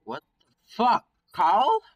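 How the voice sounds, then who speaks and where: A man's voice: fairly high pitch, animated, unhurried, dull audio. A man playing a frightened young man in a horror story, with urgent, rattled outbursts. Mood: alarmed. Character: frightened young man trapped in a nightmare, anxious.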